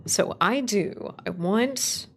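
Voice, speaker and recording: Lady streamer working along, rambly and playful, lots of vocal fry, just thinking out loud. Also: medium pitch, extremely slow. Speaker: streamer, writer and voice actor. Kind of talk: casual work-along livestream chat.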